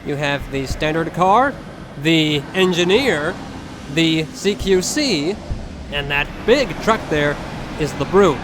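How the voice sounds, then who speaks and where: A man's voice: fairly high pitch, animated, slow pace, background noise. A young man giving casual commentary as a gaming video creator.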